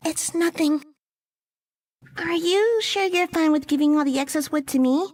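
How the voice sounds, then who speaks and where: Blunt, high-voiced young woman, acted by a woman. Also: normal speed, darkish tone, far from the mic, natural voice. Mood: bright, casual. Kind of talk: visual novel game voice acting.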